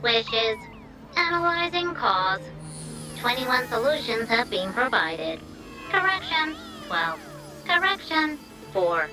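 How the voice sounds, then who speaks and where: A woman's voice: a little high, sing-song, slow pace, music in the background, rough recording. A woman voicing a small, childlike robot in a video game, innocent and literal, speaking in clipped announcements. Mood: mechanical, earnest. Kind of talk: video game character acting.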